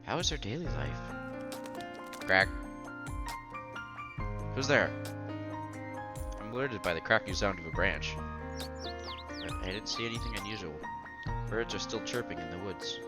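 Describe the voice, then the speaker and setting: A man with a fairly low voice reads a visual novel out loud. He mixes first-person story narration with casual streamer commentary. Loud music plays behind him. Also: expressive, normal speed, pauses often.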